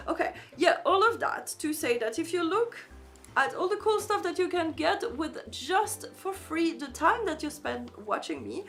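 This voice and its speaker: A high-pitched woman with a fried, gravelly texture talks casually to her live-stream audience. English is not her native language, and she rambles through fillers and restarts while reading chat. Light game music plays behind her. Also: fairly bright tone. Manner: chatty, reads chat aloud, frequent fillers and restarts. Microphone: desk microphone.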